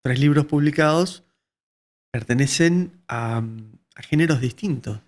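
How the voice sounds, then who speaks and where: A man's voice: fairly high pitch, a little slow. A man hosting an interview, asking brief, direct questions. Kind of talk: casual interview conversation.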